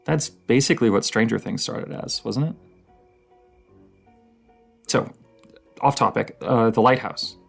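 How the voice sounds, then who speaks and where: A man playing a dorky, excitable partner who rambles like a horror-movie nerd in a casual, intimate roleplay, stammering and halting with enthusiasm. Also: mid-range voice, vocal fry, husky, soft background music, exaggerated voice. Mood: excited, teasing.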